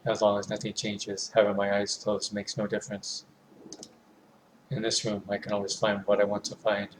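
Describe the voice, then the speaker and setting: A man reading first-person horror narration from a visual novel in a measured, hushed way, pausing between phrases. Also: fairly low pitch, completely flat delivery, slight background noise, poor audio.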